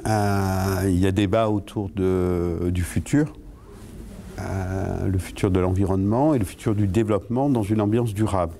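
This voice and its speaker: A man with a deep, dark-toned voice answers an interview hesitantly. Faint ambient noise is behind him. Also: fairly even intonation, moderate pace.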